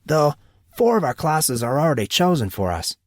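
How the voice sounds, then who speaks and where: Man doing a brash, rowdy teenage boy, raised pitch. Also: big pitch swings, normal speed, dry recording, studio quality. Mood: casual, slightly sour. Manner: cocky, slangy banter with bro and dude.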